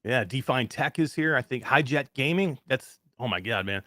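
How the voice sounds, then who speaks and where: A man of fifty hosting a live show in casual chat, reading out greetings with excitement. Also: medium pitch, normal speed, no echo, clean studio sound. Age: 50s. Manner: excited, animated chat shout-outs. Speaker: host.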